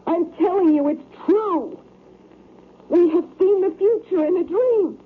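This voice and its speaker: A woman's voice: very high-pitched, a little slow. An actress playing a desperate, insistent woman pleading to be believed in an old-time radio drama. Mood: distraught, pleading. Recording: tinny, noisy old radio recording.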